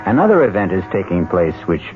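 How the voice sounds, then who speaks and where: A man's voice: deep voice, big pitch swings, fast, phone-quality sound. A man acting as the grave host and narrator of a 1970s radio mystery drama. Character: grave, suspenseful storyteller host of a mystery play. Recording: thin old radio broadcast with music.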